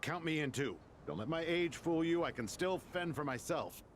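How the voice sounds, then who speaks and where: Elderly male scholar acted by a man, feisty, theatrical, highly animated pitch, grumbly, faint background rumble. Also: moderate pace, close to an everyday voice. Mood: spirited. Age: older. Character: feisty old male scholar, competitive and spry. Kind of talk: video game voice acting.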